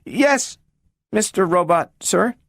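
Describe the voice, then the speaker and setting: An eager, polite little girl with a high piping voice, as a male narrator puts her on. She pleads earnestly, her pitch leaping about. The sound is dry and close, with faint music behind. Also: animated, slow, a bit bright, no echo, full character voice. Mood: earnest pleading.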